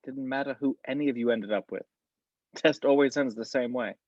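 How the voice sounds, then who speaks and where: A composed middle-aged gentleman spy, read by a man in a table read, measured and calm. His voice has an average pitch. It comes through a dry, slightly dull home microphone. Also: no echo, lightly acted. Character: polished middle-aged male spy mentor. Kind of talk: amateur table read over video call.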